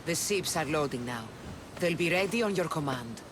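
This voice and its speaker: An actor playing a dutiful military subordinate reporting to a leader in a video game. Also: male voice, fairly high pitch, expressive, normal speed, noisy background, lightly acted. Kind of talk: dramatic video game acting. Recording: very loud background ambience.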